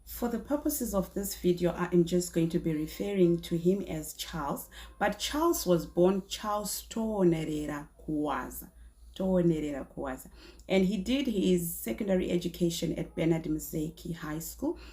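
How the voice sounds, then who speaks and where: A woman in her thirties, a little hoarse from a cold, telling a true-crime story to camera in a small indoor room, chatty with many fillers and restarts. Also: fairly low pitch, moderate pace, dark tone.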